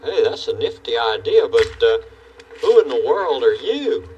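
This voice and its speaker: A man's voice: medium pitch, very animated, measured pace, darkish tone, far from the mic. Dull old TV sound, faint noise, friendly curious male puppet, folksy, eager, children's show. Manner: folksy exclamations, boyish enthusiasm.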